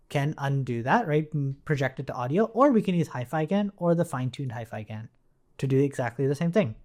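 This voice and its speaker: A man's voice: on the high side, darkish tone. Conversational man teaching a coding tutorial. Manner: conversational, thinks aloud, often checks with "right?". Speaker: instructor. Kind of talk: informal coding tutorial, explaining while demonstrating on screen.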